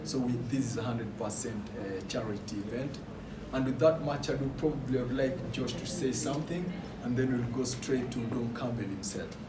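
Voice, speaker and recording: A man's voice: medium pitch, moderate pace, hardly any pauses. A man from Kenya, a DJ introducing guests at a press conference in a formal way, with hesitant restarts. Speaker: DJ and event host. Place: large indoor venue. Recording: echoey room, far mic, loud noise.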